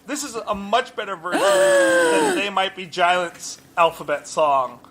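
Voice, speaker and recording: A man's voice: high voice, huge pitch swings, a little slow, a crowd in the background. A man co-hosting a podcast, earnestly talking through a song with restarts. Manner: earnest, thinks aloud with restarts. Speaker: podcast co-host. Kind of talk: casual chat, music review.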